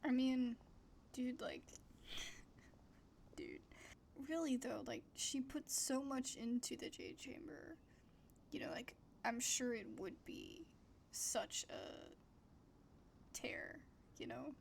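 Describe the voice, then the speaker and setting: A woman's voice: high-pitched, slow, long pauses, in a whisper. A woman gaming streamer giving casual, informal reaction commentary over a game in conversational asides. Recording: clear music behind the voice.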